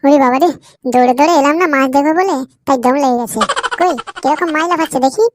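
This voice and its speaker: A man voicing a cheeky, scheming young village nephew in a comedy cartoon, smooth-talking and eager. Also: very high-pitched, quick pace, slight background noise. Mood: excited.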